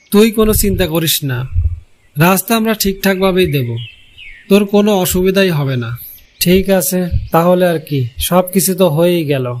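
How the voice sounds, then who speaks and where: Man acting a fairly high-voiced village brother, noisy recording. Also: a little mellow, natural voice. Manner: reasonable, conciliatory. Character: agreeable village brother settling family matters. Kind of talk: Bengali moral-story cartoon dubbing.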